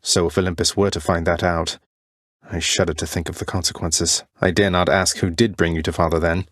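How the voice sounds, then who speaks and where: A man playing an earnest, defiant young prince of the underworld in a video game, speaking in formal, old-fashioned wording with halting, heartfelt delivery. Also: low voice, little pitch movement, fairly bright tone, dry recording, clean studio sound. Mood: thoughtful, probing. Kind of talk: video game character dialogue, dramatic acting.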